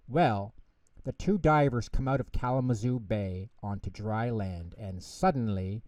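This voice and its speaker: A man voicing a big, gentle male bear, patient, caring and reassuring, in a children's story. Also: on the low side, unhurried, no room sound, close to an everyday voice. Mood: patient, coaxing.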